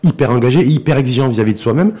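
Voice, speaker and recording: A man's voice: mid-range voice, expressive, racing, mellow, husky. A man hosting an interview, putting probing questions and rephrasing what he hears as he goes. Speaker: host, interviewer.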